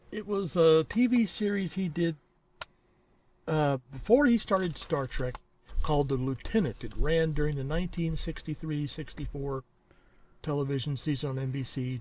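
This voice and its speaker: A man's voice: medium pitch, a little mellow. A middle-aged or older man co-hosting a podcast, chatting casually with dry, wry asides. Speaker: podcast co-host. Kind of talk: casual chat.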